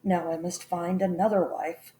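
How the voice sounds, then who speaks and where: A woman's voice: lowish voice, little pitch movement, moderate pace, mellow, reverberant, far from the mic, a little hiss or hum, natural voice, poor audio. A woman voicing a widowed king who complains in an exasperated way. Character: fussy widowed king, man.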